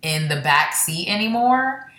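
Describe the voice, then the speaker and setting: A young woman stand-up comedian from Florida, chatting casually and rambling with quick self-deprecating asides. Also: fairly low pitch, expressive, sharp tone, echoey room. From Florida, born in Baltimore. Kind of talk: casual interview chat.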